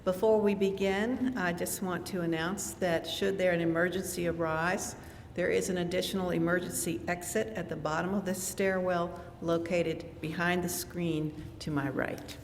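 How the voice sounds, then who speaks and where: A woman with a fairly low voice opens a lecture in a measured, polite and formal way. Her voice carries a long reverb through a large chapel hall, and faint noise sits underneath. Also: fairly even intonation. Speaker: museum director hosting a lecture. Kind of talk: formal welcome and announcements.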